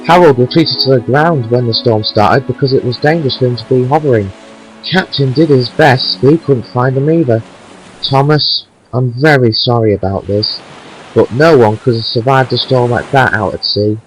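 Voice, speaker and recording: A man's voice: medium pitch, moderate pace, soft background music, lightly acted, low-quality recording. A man playing a grave, apologetic railway official in an amateur audio drama. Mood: somber.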